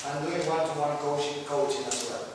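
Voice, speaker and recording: Middle-aged Argentine-accented lecturer, high for a man, halting and joking, heard from far off in an echoey hall. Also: steady tone, slight background noise. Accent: Argentine Spanish. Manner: halting, self-correcting, joking with the audience. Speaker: lecturer and coach. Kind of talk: live lecture to an audience.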